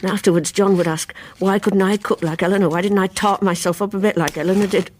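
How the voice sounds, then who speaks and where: Woman acting a brittle, brooding housewife, often hushed. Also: mid-range voice, steady tone, fast talker, lightly acted. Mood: brooding, confiding. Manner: intimate, brittle, insistent, dropping to hushed tones. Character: troubled, brooding housewife confessing obsessive secrets. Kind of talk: dramatic radio play acting with confessional narration.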